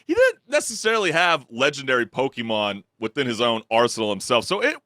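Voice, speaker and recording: A young man co-hosting a gaming talk show, who reads viewer comments aloud and laughs often in a low baritone that sometimes squeaks when he gets excited. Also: brisk, no echo, clean studio sound. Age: young adult. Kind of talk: casual chat.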